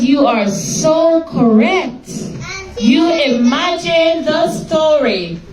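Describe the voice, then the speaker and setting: A woman teacher reading a story aloud to a class and patiently quizzing her pupils in a classroom. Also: on the high side. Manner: slow, patient questioning of pupils. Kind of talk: classroom lesson and read-aloud. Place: classroom full of children. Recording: echoey room, mic far off, class chatter.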